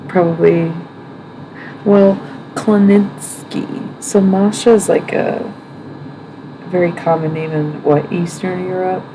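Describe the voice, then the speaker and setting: Low-voiced woman musing on a livestream. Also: background noise. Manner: rambling, thinking aloud with many ums and self-corrections. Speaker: livestream host.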